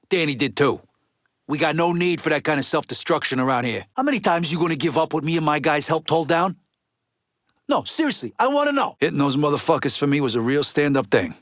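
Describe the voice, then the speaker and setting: A gruff American mobster from a video game, speaking in a medium register. He is blunt, streetwise and resentful, with profanity worked into his talk. The recording is dry, with no room around the voice. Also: mid-range voice, a bit bright, no room sound. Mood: irritated, then somber, resentful. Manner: blunt, streetwise, heavy swearing.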